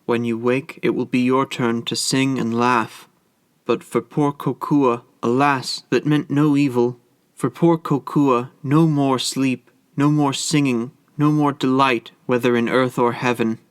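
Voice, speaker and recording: A man's voice: medium pitch, steady tone, a little slow, smooth, no echo, studio quality, close to an everyday voice. A man voicing a pleading young wife. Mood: mournful. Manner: pleading, breathless and urgent. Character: desperate young Hawaiian wife, woman.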